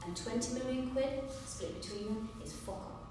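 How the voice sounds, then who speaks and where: A woman's voice: fairly high pitch, moderate pace, warm tone, natural voice. Woman acting a combative lawyer in an echoing hall. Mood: indignant, impassioned. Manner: combative, sarcastic, emphatic, swearing. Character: sharp-tongued, indignant woman lawyer fighting for poor clients. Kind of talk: theatrical stage monologue. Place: large echoing performance room, distant microphone. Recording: echoey hall from far off, faint noise.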